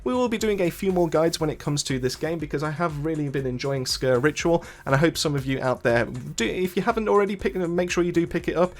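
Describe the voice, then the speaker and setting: A man's voice: on the high side, quick pace, hardly any pauses. A man hosting a gaming channel, narrating a casual walkthrough in a wordy way, often rephrasing himself as he explains.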